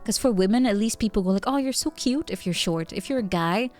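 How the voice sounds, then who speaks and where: A woman's voice: mid-range voice, lively intonation, fast talker, darkish tone. A young woman streaming, chatting casually with viewers. She laughs often, thinks aloud with fillers, and is tipsy from wine. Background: faint background music.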